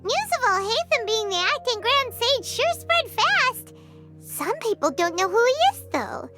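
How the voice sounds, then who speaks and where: A woman voicing a small, childlike floating fairy companion in a video game, squeaky, chatty and earnest. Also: sing-song, unhurried, music in the background, heavily acted. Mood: musing. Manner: chatty, refers to self by name.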